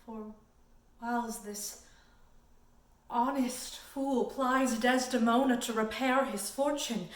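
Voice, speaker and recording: Casting: woman playing gloating male villain of classical tragedy, high-pitched, sly and theatrical, in an echoey room. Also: steady tone, takes it slowly, pauses often. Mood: menacing, scheming. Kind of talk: classical Shakespearean stage soliloquy. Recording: roomy echo, distant microphone.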